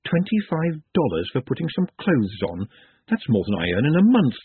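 A man's voice: mid-range voice, huge pitch swings, moderate pace, husky, no echo, full character voice. A man voicing a prim, blunt working woman in a storybook, disapproving and prone to worry. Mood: worried. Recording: muffled phone-like narrow band.

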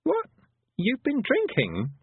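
A man's voice: very high-pitched, huge pitch swings, slow pace, breathy edge, dry recording, phone-quality sound, pitched above the performer's own voice, full character voice. A man voicing an earnest young girl, questioning and indignant, in a storybook reading. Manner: questioning, reproachful.

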